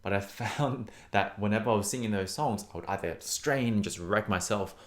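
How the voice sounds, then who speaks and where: A young man teaches singing in a casual, earnest way, speaking with a fairly low voice. He encourages the viewer and often repeats words to stress a point. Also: normal speed. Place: Australia.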